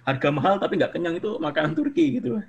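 A young man from Indonesia, studying abroad, chatting casually as an interview guest with a relaxed, rambling manner. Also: sits high, fast, creaky, lo-fi sound, crowd noise. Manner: relaxed, chatty, hedging with fillers.

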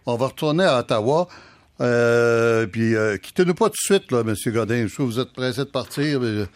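A male radio host moves the talk along briskly, jumping in with short questions and a teasing edge. His voice is mid-pitched and plain. The studio sound is close and dry. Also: expressive, no echo.

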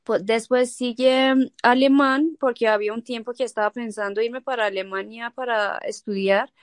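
A woman's voice: on the high side, steady tone, moderate pace, no echo. A woman from Iran whose first language is Persian, a guest in a casual interview, talking hesitantly with many fillers and small laughs.

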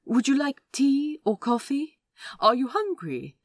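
A woman's voice: high-pitched, sing-song, unhurried, no echo, studio quality, exaggerated voice. A woman voicing a fussy, polite, anxious man in an audio fiction reading, with a prim and fretful manner. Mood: forced cheer.

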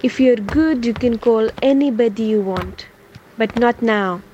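A woman playing a condescending asylum official in an audio play, unhurried, patronising and coaxing. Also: fairly high pitch, warm tone, lo-fi sound, a little hiss or hum, natural voice.

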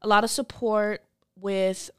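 A young woman in her early twenties speaks plainly and candidly as a podcast guest. Her voice is clear and smooth at a medium pitch, recorded dry. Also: level tone, unhurried, fairly bright tone, no room sound. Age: early 20s. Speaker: podcast guest, program client. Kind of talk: candid personal conversation.

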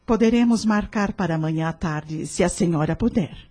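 A serene, wise prayer-group leader acted by a woman in a radio drama. Her voice is lowish and dark with some huskiness, and she instructs formally, in a gently consoling manner. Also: brisk, natural voice. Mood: courteous.

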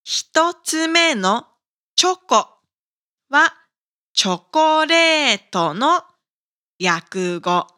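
A woman hosting a scripted language lesson for learners, speaking with careful, deliberate enunciation. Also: high voice, big pitch swings, bright, forceful tone. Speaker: language teacher and podcast host.

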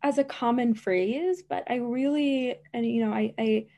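A woman who stutters speaks in an unhurried, deliberate way, often repeating sounds and words. As a speech therapist and interview guest, she gives earnest, emphatic answers. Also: fairly high pitch, mellow. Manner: stutters, with repetitions and blocks. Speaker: guest, speech-language pathologist.

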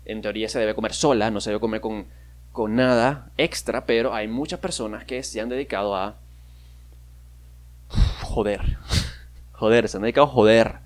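A man's voice: fairly low pitch, moderate pace, pauses often. A young man from Maracaibo with a Venezuelan maracucho accent, hosting a casual solo podcast, rambling and joking at himself. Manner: rambling, self-mocking, with frequent fillers and laughs. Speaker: podcast host.

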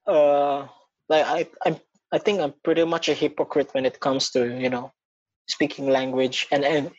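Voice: medium pitch, a little mellow, muffled recording. A speaker from Indonesia with American-leaning English, a school English program coordinator talking things over reflectively in a casual chat. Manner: reflective, self-questioning.

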